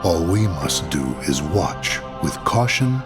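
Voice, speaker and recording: Game overlord: very low, slow, grandiose cold schemer with wide swells, loud music behind. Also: male voice, big pitch swings, heavily acted. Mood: commanding, assured. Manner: grave, measured, grandiose. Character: solemn, commanding male villain overlord, coldly patient schemer. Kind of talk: video game cutscene acting.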